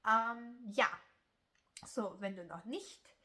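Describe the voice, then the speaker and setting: A young woman with a Swiss German accent talks through her crochet vlog, her voice bright and clear. She chats on loosely, with plenty of hesitations and restarts. Her first language is Italian. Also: a little high, slow pace. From German-speaking Switzerland. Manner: chatty, rambling, many filler sounds and restarts. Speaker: crochet YouTuber. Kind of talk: casual vlog chat.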